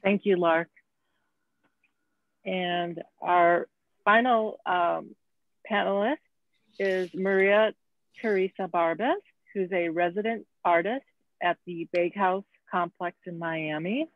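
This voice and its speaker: Woman panel moderator, unhurried, dry tinny call audio. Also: lowish voice, no room sound. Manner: slow, hesitant with fillers. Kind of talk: introducing panelists. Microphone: video call.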